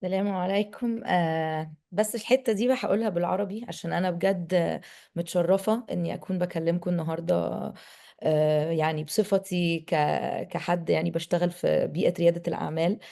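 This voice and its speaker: A woman's voice: lowish voice, fairly even intonation, very fast, gravelly, warm tone. A woman from Egypt, a native Arabic speaker with an Egyptian accent, introducing herself as a panelist. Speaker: panelist, country director.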